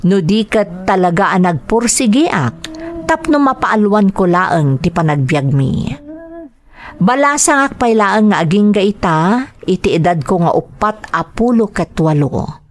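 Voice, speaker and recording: A woman's voice: mid-range voice, expressive, unhurried. A woman with an Ilocano accent reading greetings and letters as a radio-style podcast host.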